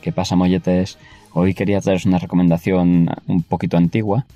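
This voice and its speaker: A man's voice: low-pitched, little pitch movement, brisk, dark tone, soft background music. A man sending a casual voice message to a podcast, recommending a game. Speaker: listener sending audio.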